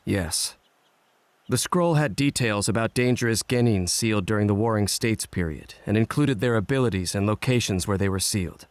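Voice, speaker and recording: A man playing a stern, composed ninja father in an anime game cutscene, speaking in a measured, matter-of-fact way. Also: lowish voice, no room sound, natural voice. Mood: grave, explanatory. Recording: background music under the dialogue.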